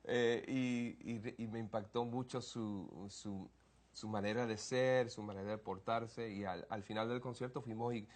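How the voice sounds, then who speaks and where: A man's voice: fairly low pitch, steady tone, measured pace, darkish tone. A man in his forties from Cuba, a singer and guest, talking hesitantly with many fillers.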